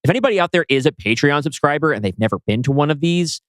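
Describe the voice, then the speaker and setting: A man's voice: on the high side, animated, racing, no room sound. A man co-hosting a podcast in casual chat, informal and rambling, with the odd mild swear. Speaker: podcast co-host.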